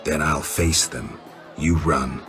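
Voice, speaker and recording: A stoic fantasy game monster hunter with a rock-bottom, gravelly voice. He is terse and deadpan, never showy. Prominent music plays behind him. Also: extremely low pitch, slow, exaggerated voice. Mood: terse, resolute. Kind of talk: video game voice acting.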